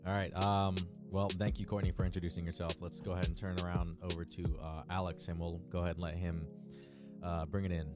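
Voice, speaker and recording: A man's voice: low voice, level tone, fairly quick, loud music behind the voice. A man in his twenties from Florida, hosting a casual podcast chat and leading introductions in a loose, joking way. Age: 20s. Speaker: podcast host.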